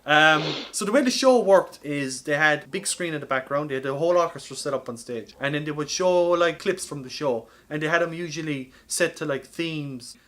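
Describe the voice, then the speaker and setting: Higher-voiced man bantering on a podcast. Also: fairly quick, hardly any pauses, fairly bright tone. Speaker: podcast host. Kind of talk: casual comedic podcast chat.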